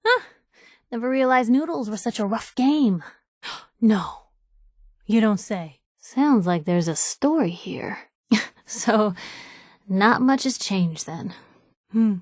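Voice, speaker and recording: A woman's voice: medium pitch, no room sound, natural voice. Actress doing a young woman reporter sidekick from a video game, wisecracking and loyal, super dry close-up sound. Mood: wry, curious. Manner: wisecracking, sardonic, conversational. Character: wry, plucky young woman reporter, loyal and outspoken companion. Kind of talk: scripted video game companion dialogue.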